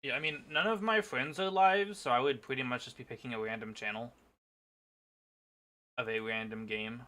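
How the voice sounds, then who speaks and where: A man hosts a casual livestream with a bright, forceful voice of medium pitch. He chats informally with friends and viewers. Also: moderate pace. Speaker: livestream host.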